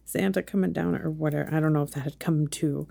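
A woman with a slightly low, gravelly voice with a mellow tone. She chats casually as a co-host, teasing her partner with wry questions. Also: steady tone, fast. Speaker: podcast co-host. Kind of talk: casual chat.

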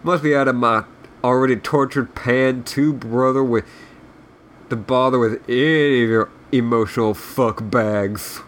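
A man's voice: mid-range voice, fairly even intonation, measured pace, lightly acted. A man voicing a surly, self-loathing sprite guide who rambles sarcastically and swears as he insults, in a comic read-aloud. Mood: scornful, bitter. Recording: clearly noisy background.

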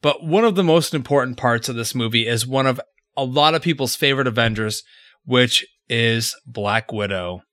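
Opinionated guy with a bright, higher voice, teasing his buddies, dry close mic. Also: moderate pace, dry recording. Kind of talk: casual podcast chat.

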